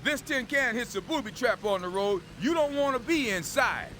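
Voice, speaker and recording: A man's voice: animated, moderate pace, pushed and bright, exaggerated voice. A man playing a brash, streetwise young Marine in a war game, slangy and teasing. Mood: cocky banter. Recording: vehicle rumble and outdoor ambience.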